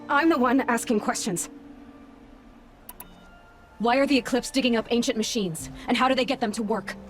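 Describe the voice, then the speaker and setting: Game heroine: stern young female hunter female actor, resolute, clipped delivery, soft music underneath. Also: a little high, normal speed, natural voice. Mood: demanding. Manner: terse, firm, questioning. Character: stern young female huntress, determined interrogator, ultimately merciful. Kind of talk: dramatic video game acting. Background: faint background music.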